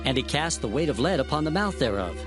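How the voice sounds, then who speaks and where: A man's voice: mid-range voice, sing-song, lightly acted. Man as an earnest biblical prophet over music. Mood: awed. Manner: earnest, measured, declamatory. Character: earnest male biblical prophet recounting his visions. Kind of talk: dramatized scripture narration.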